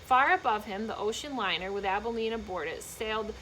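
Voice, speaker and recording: A woman reading a children's book aloud in an expressive, emphatic storytelling manner, with short explanatory asides for young listeners. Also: medium pitch, brisk, slight background noise, low-quality recording.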